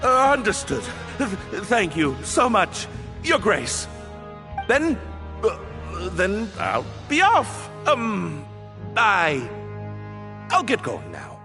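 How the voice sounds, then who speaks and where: A man's voice: high-pitched, huge pitch swings, drawn-out words, music in the background, heavily acted. A man playing a nervous, flustered fellow in a video game, stammering, hesitant and apologetic. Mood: startled, nervous. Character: nervous, flustered, evasive man, humble and jumpy. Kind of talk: video game dialogue acting.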